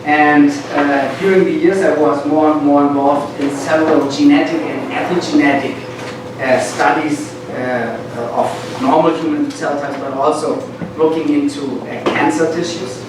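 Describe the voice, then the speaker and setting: A middle-aged man with a German accent gives an informal academic talk to students, halting and often repeating words. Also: fairly high pitch, fairly even intonation. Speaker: guest lecturer, medical school professor. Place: lecture classroom, indoors. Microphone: far camera at back of room. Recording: distant, very echoey, noisy room recording.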